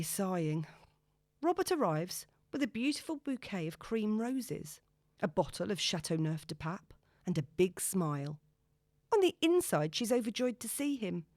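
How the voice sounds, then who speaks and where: A woman's voice: medium pitch, a little mellow, no echo, studio quality. A woman reading scripted novel narration as an audiobook narrator.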